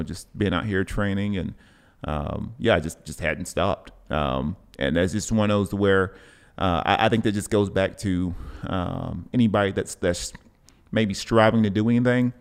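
Low-pitched man, halting and reflective. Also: smooth. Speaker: podcast host, coach. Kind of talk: casual interview chat.

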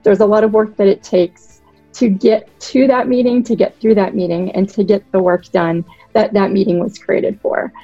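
A woman from Boston in her thirties, a podcast guest giving articulate, measured explanations of her work. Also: medium pitch, steady tone, dark tone, no echo, dull audio, faint music behind. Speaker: guest, product director. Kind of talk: podcast interview.

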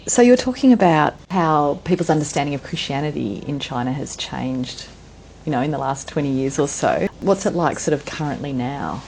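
A woman's voice: low voice, lively intonation, normal speed, vocal fry, darkish tone, a little hiss or hum, low-quality recording. A woman hosting an interview, asking questions in a friendly, encouraging way. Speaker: podcast host. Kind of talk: interview chat.

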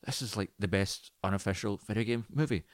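A man's voice: fairly low pitch, brisk, no echo, studio quality. A man co-hosting a podcast, chatting informally and with strong opinions about films, swearing freely. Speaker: podcast co-host. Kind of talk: casual film and video game chat.

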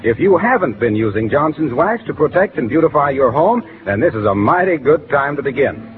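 A man's voice: on the high side, very animated, a little fast, soft background music. A man announcing in polished, formal 1940s radio style, reading scripted introductions and sponsor copy. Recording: thin, phone-like 1940s radio recording.

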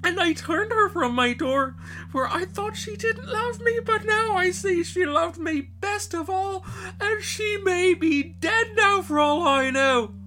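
A man's voice: very high-pitched, expressive, measured pace, sharp tone, pitched above the performer's own voice, exaggerated voice. A man voicing a grieving father in a storybook, wailing with strain and regret over music. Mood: anguished, tearful. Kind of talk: animated storybook reading.

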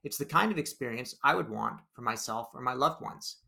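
A man's voice: mid-range voice, animated, fairly quick, creaky, bright, forceful tone. A man hosting a business podcast, reading a scripted introduction and then asking his guest questions in a loose, conversational way. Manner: conversational, with fillers and restarts when asking. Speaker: podcast host.

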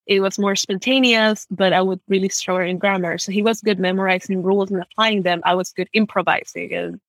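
Young Ecuadorian-raised woman guest, chatty and animated, dry close recording. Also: mid-range voice, a little fast, dry recording. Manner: chatty, uses lots of "like", animated storytelling. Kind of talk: casual interview conversation.

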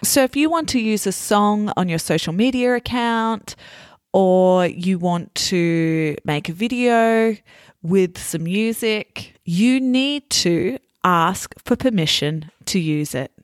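Slow, clear woman podcast host. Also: mid-range voice, lively intonation, no echo, studio quality. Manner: patient, defines words simply for learners. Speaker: podcast host for English learners. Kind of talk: slow, clear explanatory teaching talk.

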